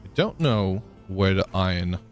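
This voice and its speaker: A man's voice: low-pitched, lively intonation, measured pace, soft background music. A man live-streaming a game, chatting casually, thinking aloud with frequent ums and answering viewers in chat.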